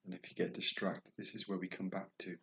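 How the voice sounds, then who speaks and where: A man guiding a meditation, speaking slowly and softly, at times dropping toward a whisper. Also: sits low, monotone. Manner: slow, soft and soothing, trailing off toward a whisper. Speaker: meditation guide. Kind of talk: guided meditation.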